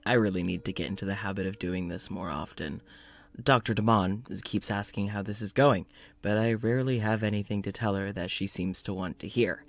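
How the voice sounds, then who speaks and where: A man playing a tired, awkward, gentle doctor confiding in an audio diary, conversational and dryly wry. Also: on the low side, steady tone, brisk, lightly acted. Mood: weary.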